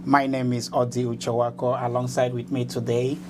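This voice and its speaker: A man's voice: medium pitch, level tone, fairly quick. Guy hosting, formal announcer vibe, hum all over. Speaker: host. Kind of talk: broadcast interview hosting.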